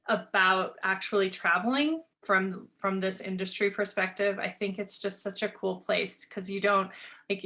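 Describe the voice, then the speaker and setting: Bright-voiced woman hosting, fairly even pitch. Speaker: podcast host. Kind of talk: conversational interview.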